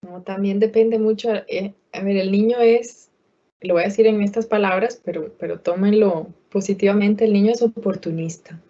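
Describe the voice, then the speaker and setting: A woman answering questions as an expert in an online talk, pausing often with fillers and restarting her phrases. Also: medium pitch, steady tone, dark tone, muffled recording. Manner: hesitant, many fillers and restarts. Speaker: guest expert speaker.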